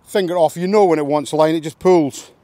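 Mellow, higher-voiced man. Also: fairly quick, no room sound. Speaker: angler demonstrating tackle. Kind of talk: casual hands-on explanation. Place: outdoors at a fishing lake.

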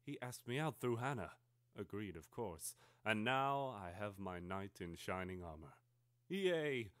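A man voicing a melodramatic twelve-year-old schoolgirl confiding in her diary, theatrical and overwrought, swinging between hushed and exclaiming. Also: fairly low pitch, very animated, unhurried, no room sound, studio quality. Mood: excited. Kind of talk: theatrical dramatic reading of a teenage diary.

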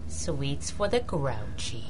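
A woman's voice: extremely low pitch, big pitch swings, slow pace, a little mellow, slight background noise, exaggerated voice. A woman playing a wisecracking young sidekick and driver, teasing and wry, in a 1930s-style radio drama. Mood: playful, wry.

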